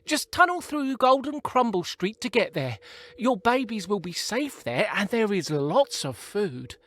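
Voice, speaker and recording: A man's voice: high voice, big pitch swings, unhurried, fairly bright tone, exaggerated voice. A man voicing bossy, helpful plant roots that advise matter-of-factly and are easily crossed, in an audio play. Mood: advising.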